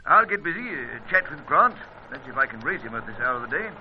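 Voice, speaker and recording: A man's voice: mid-range voice, very animated, fast, lo-fi sound, slight background noise. A man acting a fussy, genial older British spymaster with an upper-class accent, stammering and blustering over a two-way radio in an adventure serial. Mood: concerned, resolute.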